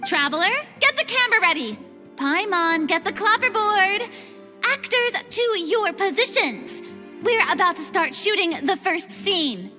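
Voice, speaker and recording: Eager dramatic girl film director for a game, high pitched, bossy and articulate, big reverb. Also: animated, measured pace, bright, forceful tone, heavily acted. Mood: excited, commanding. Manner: theatrical, articulate, commanding. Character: theatrical, eager young female film director. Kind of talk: video game voice acting. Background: music.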